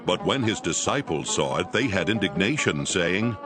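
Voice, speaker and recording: Male narrator, very deep pitch, scripted scripture reading, clear music bed. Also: sing-song, normal speed. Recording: voice over prominent music.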